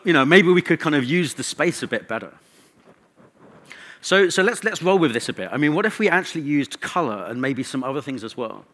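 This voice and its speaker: A man's voice: a little high, fairly bright tone. A man speaking as a conference presenter, informal and conversational, with wry asides. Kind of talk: conference talk.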